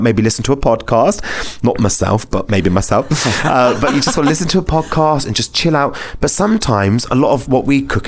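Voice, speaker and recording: British guy chatting away casually as podcast host, informal, kind of thinking out loud. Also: medium pitch. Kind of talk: casual chat.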